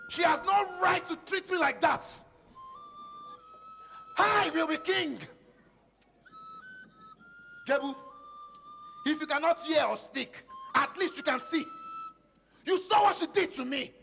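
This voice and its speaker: A man's voice: very high-pitched, takes it slowly, creaky, long pauses, sharp tone, exaggerated voice. A man acting a proud, hot-tempered young prince in a stage play, indignant and emphatic, in a reverberant hall. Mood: indignant, wounded pride. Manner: indignant, emphatic, repeating himself. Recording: reverberant theatre hall, music behind.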